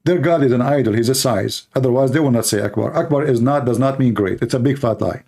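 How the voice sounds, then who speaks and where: A man's voice: medium pitch, quick pace, mellow. A man from the Middle East, a native Arabic speaker with an Arabic accent, talking informally and bluntly as he hosts a live stream. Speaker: live stream host, religious apologist.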